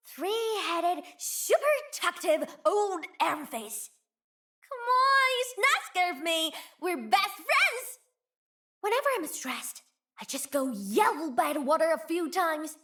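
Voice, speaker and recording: A woman's voice: extremely high pitch, bright, forceful tone, full character voice. A woman voicing a playful, greedy young female chief in a game, cheeky and teasing. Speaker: voice actor. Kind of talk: game character voice lines.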